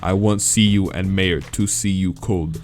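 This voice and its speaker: A man voicing a big, simple, creepy gray male monster who speaks in broken, halting English. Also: low voice. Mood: blunt. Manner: broken halting English, short flat sentences.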